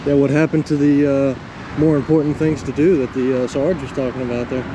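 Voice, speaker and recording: A man narrating a vlog casually as co-host, with a halting, filler-heavy delivery. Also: mid-range voice, steady tone. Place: outdoors in a car park. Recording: clear outdoor traffic noise.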